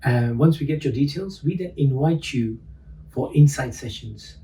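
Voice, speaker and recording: Mellow man explaining steps, distant. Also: medium pitch, normal speed. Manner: plain step-by-step explaining. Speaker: police recruitment officer. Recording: distant mic with faint noise.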